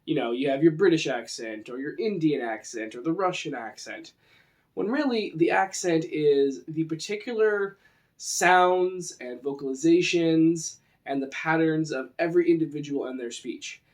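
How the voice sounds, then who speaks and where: A man's voice: fairly high pitch, lively intonation, moderate pace. A man from the western United States with a Western American accent, presenting an informal, conversational lesson on tabletop roleplaying. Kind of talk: informal instructional talk.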